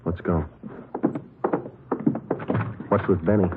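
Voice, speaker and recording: An actor playing a terse police detective sergeant in a 1950s radio crime drama, with an American accent and clipped, matter-of-fact delivery. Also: male voice, sits low, fairly even intonation, slow, noisy background. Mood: businesslike. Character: terse, businesslike male police detective sergeant narrating his case. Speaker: lead detective and narrator. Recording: muffled old radio broadcast recording with music.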